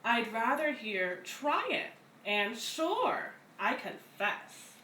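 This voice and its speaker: Woman, children's read-aloud, very unhurried pace, lively pitch swings, expressive storyteller delivery. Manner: slow, deliberate, animated delivery for young children. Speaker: read-aloud story reader at a community center. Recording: roomy, distant mic, faint background noise.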